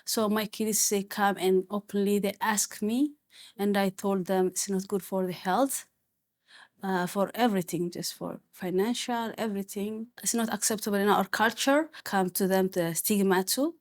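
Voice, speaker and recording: A woman's voice: mid-range voice, steady tone, normal speed. A mother from Ethiopia or Eritrea, speaking hesitantly with many fillers in a community discussion. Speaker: parent.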